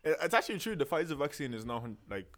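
A young man from Nigeria co-hosting a casual podcast, firing back quick, playful comebacks. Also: on the high side, sing-song. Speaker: DJ and podcast co-host.

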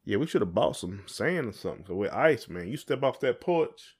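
A man's voice: mid-range voice, big pitch swings, fairly quick, darkish tone, breathy edge. A man with a Southern US accent co-hosting a podcast in a casual, folksy, playful and rambling way. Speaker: podcast co-host. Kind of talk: casual chat.

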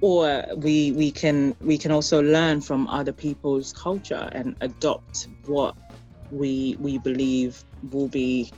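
An interview guest who grew up in Ghana and later Europe, speaking reflectively and haltingly, often searching for words. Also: medium pitch, little pitch movement, a little mellow, dull audio, faint music behind. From born in Liberia, raised in Ghana, later the Netherlands and UK.

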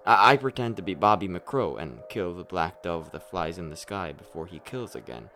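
A man's voice: low voice, expressive, normal speed. A man acting a weary, hard-drinking, despairing superhero in a darkly comic radio play, brooding and rambling with a sardonic edge. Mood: resigned, rambling. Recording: music playing under the voice.

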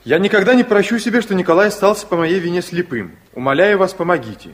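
Husky, eager young son. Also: male voice, high-pitched, lively intonation, fast. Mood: pleading, reading aloud. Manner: eager, insistent. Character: impulsive, earnest, big-hearted young son. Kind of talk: 1960s Soviet radio play acting.

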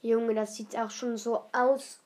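A woman with a slightly high voice chats casually as she reacts to a video, often asking questions and trailing off with fillers. A faint hiss and the odd click sit behind her voice. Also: level tone, slow, darkish tone. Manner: chatty, questioning, hesitant with fillers. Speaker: reaction commentator.

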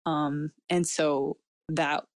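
Low-voiced reflective woman, dry sound. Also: level tone, very slow, no room sound. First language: Cantonese. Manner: reflective, many fillers, laughs often. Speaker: guest. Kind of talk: casual personal interview talk.

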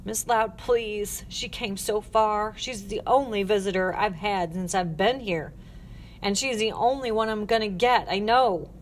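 A woman's voice: medium pitch, a little slow, natural voice. Lonely, earnest girl played by a woman, pleading audiobook character, noisy recording. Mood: begging.

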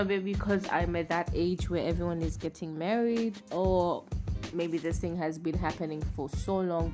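Low-voiced young Tanzanian woman over prominent music. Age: young adult. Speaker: podcast host. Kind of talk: casual opinion monologue.

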